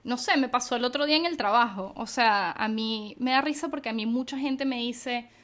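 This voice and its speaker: A woman's voice: a little high, a little fast, a bit bright. A young woman hosting an interview, chatting casually and affirming her guest as she listens. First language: Spanish. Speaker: podcast host.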